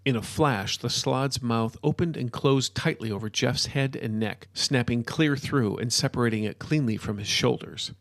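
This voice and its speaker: A man's voice: mid-range voice, moderate pace, creaky, hardly any pauses. A man reading scripted narration as the narrator of an audio story.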